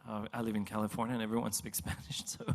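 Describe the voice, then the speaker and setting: A low, gravelly male voice answers interview questions, pausing and stumbling as he searches for words. English is not his first language, and he laughs softly between thoughts. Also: little pitch movement. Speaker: singer in a vocal group.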